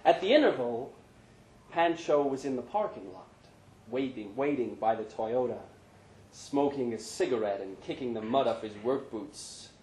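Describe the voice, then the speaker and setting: A man narrates literary fiction to a live room. His mid-pitched voice carries a clear echo from the space, as at an indoor story event. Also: animated, moderate pace, darkish tone, slight background noise. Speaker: reader of short fiction.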